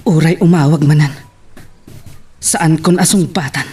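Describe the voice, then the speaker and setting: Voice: mid-range voice, a little slow, darkish tone, close to an everyday voice. An actor playing a hurt, resentful child in an Ilocano audio drama, speaking indignantly and emotionally. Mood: angry, hurt. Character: hurt, angry child Robin, resentful of the father. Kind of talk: Ilocano radio-style drama acting.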